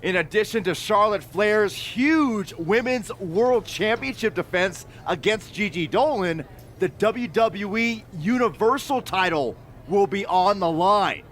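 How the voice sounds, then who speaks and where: A man's voice: sits high, expressive, unhurried, a bit bright, slight background noise. A man playing an earnest, polished wrestling show presenter in a video game. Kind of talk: sports broadcast presenting.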